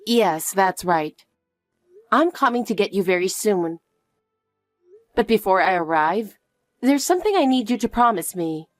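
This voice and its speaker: A woman playing a calm, protective mother who speaks softly and reassuringly to her daughter. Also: medium pitch, no room sound, faint music behind, close to an everyday voice. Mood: soothing. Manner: soft, slow, reassuring. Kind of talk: dramatic dialogue.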